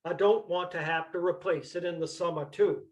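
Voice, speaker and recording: A man playing a terse, deadpan old farmer from Vermont in an amateur staged play reading. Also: high voice, normal speed, lightly acted.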